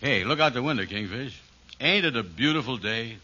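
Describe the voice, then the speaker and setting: A man playing an easygoing, slow-witted pal in a 1940s radio sitcom, talking in a folksy comic dialect. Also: medium pitch, animated, sharp tone. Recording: dull, hissy old radio recording.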